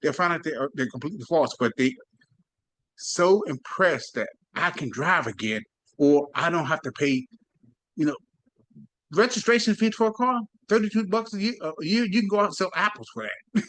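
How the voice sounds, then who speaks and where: A man's voice: a little high, lively intonation, normal speed, a bit bright, no room sound, rough recording. A man hosting a casual commentary show, talking in a rambling, slangy way and laughing often. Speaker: commentary channel host.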